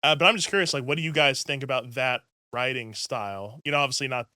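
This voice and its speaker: A man hosts a relaxed music chat, rambling along with plenty of "like" and "um." His voice is bright and clear, and it sits close and dry on the mic. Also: mid-range voice, fast talker, no echo. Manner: chatty, rambling, heavy use of "like" and "um". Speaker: podcast host. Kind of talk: casual interview chat.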